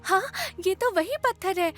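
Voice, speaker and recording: A woman's voice: very high voice, moderate pace, faint music behind. A woman playing a brave, earnest young girl in a Hindi cartoon dub. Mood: earnest, grateful.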